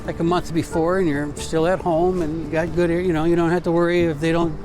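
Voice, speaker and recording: A man's voice: fairly high pitch, flat delivery, brisk, music in the background. A man chatting casually outdoors on a downtown street, telling rambling, colloquial stories as he walks along as a travel vlogger. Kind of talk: casual walk-and-talk vlog chat.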